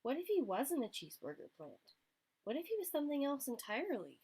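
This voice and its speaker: A woman's voice: high voice, huge pitch swings, brisk, darkish tone. A woman storyteller reading a picture book aloud to young children, expressively, addressing her listeners directly.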